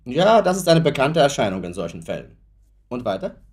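A man's voice: medium pitch, big pitch swings, darkish tone, lightly acted. Actor doing skeptical lawyer guy in a radio play, formal, bit patronizing, very up and down intonation. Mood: probing, dry. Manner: matter-of-fact, formal, measured. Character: sober, skeptical male lawyer, brisk and patronizing. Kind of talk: German radio play acting.

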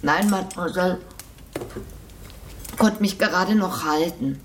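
An actress playing a loyal, plain-spoken maidservant in a radio drama, blunt and familiar. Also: female voice, lowish voice, a little slow, distant mic, slight background noise, natural voice. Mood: sturdy, dutiful.

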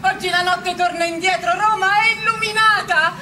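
A woman playing an excited townswoman who recounts historical events as vivid theatrical storytelling. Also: very high voice, moderate pace, over a noisy crowd. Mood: excited wonder. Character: excited female Roman townswoman recounting the republic's birth. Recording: noisy, slightly distant ambient recording.

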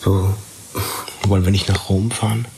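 A man's voice: low voice, flat delivery, moderate pace, creaky, background noise. An actor playing a laconic, tentative male partner in a naturalistic radio drama.

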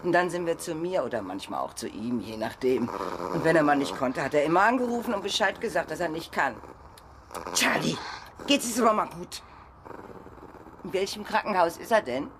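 A woman's voice: low voice, lively intonation, normal speed, noisy background. An actress in a radio crime drama playing a naive, chatty, worried girlfriend who rambles colloquially. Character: naive chatty woman, worried girlfriend under police questioning.

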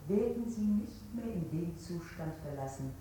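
A cold, probing female interrogator played by an actress asks insistently in a low voice. She sounds far off in a very echoey old radio play recording. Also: animated, normal speed, dark tone, a little hiss or hum, lightly acted. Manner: insistent, repetitive questioning. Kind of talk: stylised 1960s German radio play acting.

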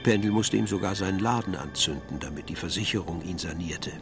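A male narrator tells a crime story in a scripted radio-play style. His voice is lowish and distinctly creaky, with a wry edge, and music plays behind it. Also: fairly quick.

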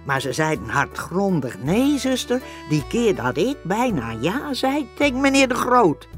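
A female nursing sister tells her tale in a radio play. Her delivery is scripted and very animated, with soft music underneath. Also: medium pitch, unhurried. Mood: confiding.

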